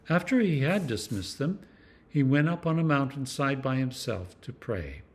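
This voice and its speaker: A man reading scripture aloud as a clergyman, in an expressive, measured storytelling manner. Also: mid-range voice. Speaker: clergyman reading scripture for a church meditation series.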